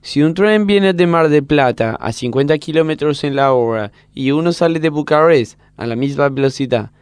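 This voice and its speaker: Man playing a naive, clear-voiced gymnast girl. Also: on the high side, normal speed, no echo, clean studio sound, natural voice. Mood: puzzled, earnest. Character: naive, literal-minded young Romanian gymnast girl, eager to obey. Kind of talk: parody radio play acting.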